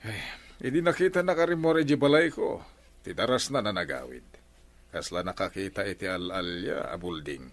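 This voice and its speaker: Flustered village captain, Ilocano accent, swooping intonation, stammering, evasive, radio comedy drama. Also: male voice, low-pitched, animated, normal speed. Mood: rattled.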